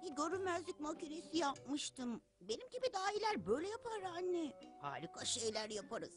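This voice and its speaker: High, excitable scheming boy genius. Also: normal speed. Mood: boastful. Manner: grandiose, excitable. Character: boastful, scheming boy genius, mischievous cartoon villain. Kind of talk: cartoon dub acting. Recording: music behind the voice.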